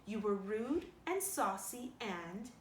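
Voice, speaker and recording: A woman's voice: medium pitch, animated. A woman as a stern, firm middle-aged guardian. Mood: firm, softer. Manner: measured, scolding, firm. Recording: roomy sound with faint noise.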